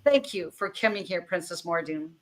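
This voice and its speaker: A woman's voice: on the low side, animated, normal speed. A woman hosting an interview, introducing and questioning her guest with gushing, playful enthusiasm. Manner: gushing, playful, exaggerated praise. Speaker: host.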